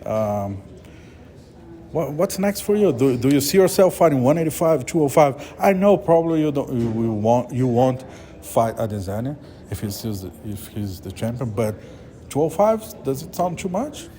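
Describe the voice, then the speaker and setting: A man from Brazil with a Brazilian Portuguese accent, interviewing a fighter in English, halting as he searches for words. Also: medium pitch, very animated, mellow, a little hiss or hum. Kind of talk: press interview.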